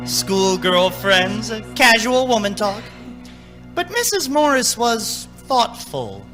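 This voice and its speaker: High, very bright-voiced radio storyteller. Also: male voice, full character voice. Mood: musing. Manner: measured dramatic phrasing. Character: storyteller narrator of a suspense radio play. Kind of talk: scripted radio narration.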